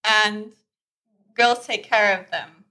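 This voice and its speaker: Female host, average pitch, relaxed conversational interview style, informal phrasing, affirming. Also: takes it slowly, low-quality recording. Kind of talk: casual chat.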